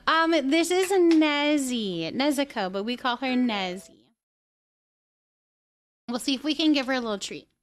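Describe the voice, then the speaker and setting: Female live stream host, fairly high register, chatty and informal, voices thoughts as they come. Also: lively intonation, slow pace, pauses often. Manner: chatty, thinks aloud. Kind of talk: casual live game stream chat.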